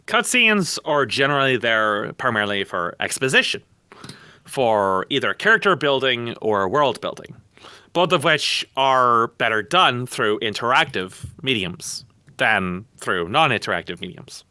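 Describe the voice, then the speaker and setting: A man's voice: mid-range voice, bright, forceful tone, no room sound. A man giving opinionated podcast commentary on gaming in a blunt, scornful, emphatic way.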